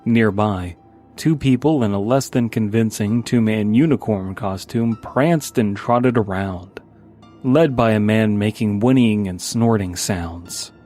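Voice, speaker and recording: A man's voice: fairly low pitch, measured pace, mellow. A man reading a scripted first-person horror story as its narrator. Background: background music.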